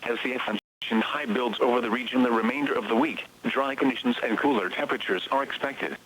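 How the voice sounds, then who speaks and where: A man's synthetic voice reading scripted automated weather bulletins in a flat, measured way, as heard on a weather radio broadcast. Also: mid-range voice, a little hiss or hum. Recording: weather radio broadcast, slightly muffled sound.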